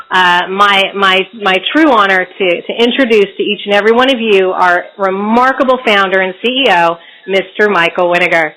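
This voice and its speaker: A woman's voice: medium pitch, poor audio. A woman marketing executive hosting a conference call, enthusiastically introducing the founder with frequent filler words.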